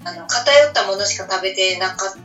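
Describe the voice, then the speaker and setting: Bright-voiced woman with a Hakata accent. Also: mid-range voice, expressive, a little fast, creaky, soft background music. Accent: Fukuoka Japanese (Hakata). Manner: frank, colloquial. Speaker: radio show co-host. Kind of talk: casual chat. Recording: distant, roomy, slightly muffled recording.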